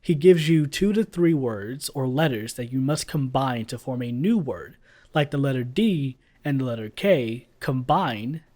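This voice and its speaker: A man's voice: medium pitch, lively intonation, a little slow, mellow. A man giving casual voice-over commentary as a video reviewer, blunt and sarcastic, swearing freely. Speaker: YouTube reviewer.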